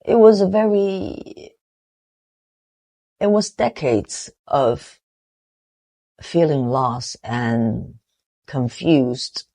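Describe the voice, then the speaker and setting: Slow, earnest Taiwanese woman. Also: rumbling low, expressive, dark tone. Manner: earnest, deliberate, with halting restarts. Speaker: motivational vlogger. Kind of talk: reflective motivational monologue.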